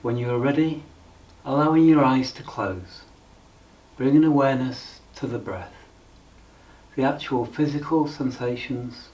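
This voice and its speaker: A man leading a guided meditation in a calm, measured, instructional way with frequent pauses. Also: mid-range voice, far from the mic, dull audio.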